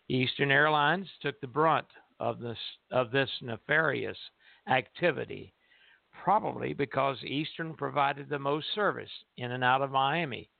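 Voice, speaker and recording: A man co-hosting and producing a radio show, chatting and reading aloud in a halting way over a muffled phone line. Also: mid-range voice, vocal fry, dry recording. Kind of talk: casual radio chat and reading aloud from a book.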